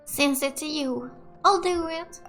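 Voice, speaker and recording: A woman playing a gentle, tender young female character in a video game. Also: high-pitched, slow pace, exaggerated voice. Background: soft game music.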